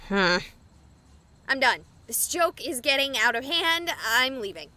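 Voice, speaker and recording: A woman's voice: high voice, big pitch swings, unhurried, pushed and bright, full character voice. A woman voicing a sarcastic, exasperated young female streamer in a horror video game, wisecracking and dramatic. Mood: wry, fed up.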